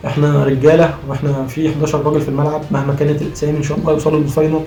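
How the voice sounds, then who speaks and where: A man's voice: on the high side, level tone, racing, warm tone. An Egyptian man with a worn-out, strained voice gives impassioned, argumentative football commentary as a vlogger, repeating phrases for emphasis. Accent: Egyptian Arabic. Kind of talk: impassioned post-match fan commentary. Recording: roomy echo, faint music behind.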